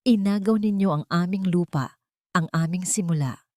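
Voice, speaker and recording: A woman's voice: lowish voice, darkish tone, no room sound, studio quality, close to an everyday voice. Woman voicing a coldly menacing, vengeful rich father. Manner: measured, grave, accusing. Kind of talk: Tagalog melodrama story dialogue.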